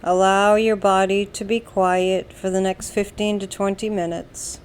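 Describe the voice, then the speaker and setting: A woman with an American accent leads a guided meditation, giving calm, soothing instructions in a deliberate way with long pauses. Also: medium pitch, clean tone. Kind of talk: slow guided meditation narration.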